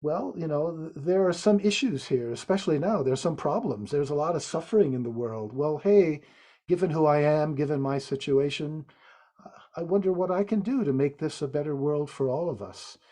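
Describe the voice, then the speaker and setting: A man speaking as a podcast guest, explaining Zen ideas in a thoughtful, halting way with frequent restarts. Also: fairly high pitch, dark tone. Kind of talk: reflective interview talk.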